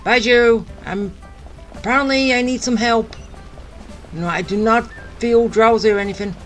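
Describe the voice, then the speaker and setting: A woman's voice: fairly high pitch, moderate pace. A woman giving casual, sarcastic gameplay commentary as a streamer, grumbling a little, with game music behind.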